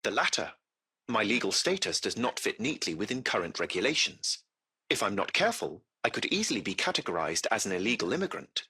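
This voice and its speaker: A man's voice: lowish voice, very animated, a little fast, gravelly, sharp tone, slightly rough, exaggerated voice. A man voicing a courteous, composed artificial intelligence that runs a taxi fleet, speaking in formal, precise, butler-like phrases for a video game. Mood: measured, wary. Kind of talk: scripted video game voice acting.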